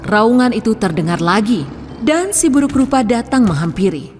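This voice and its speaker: A woman's voice: fairly high pitch, big pitch swings, moderate pace, long reverb tail, heavy background noise. A woman narrating a scripted fairy tale for children as storyteller.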